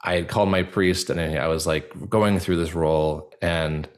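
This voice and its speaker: A man with a fairly low voice talks as a podcast guest in an informal, halting style. He circles through his thoughts conversationally, leaning often on 'like' as he goes. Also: steady tone. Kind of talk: casual personal interview talk.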